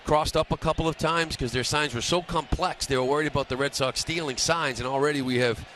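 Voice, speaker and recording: A man giving live radio color commentary on baseball, breaking down pitching in a conversational, opinionated way. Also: brisk, fairly bright tone. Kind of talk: live sports radio analysis. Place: stadium broadcast booth. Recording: some background ballpark noise.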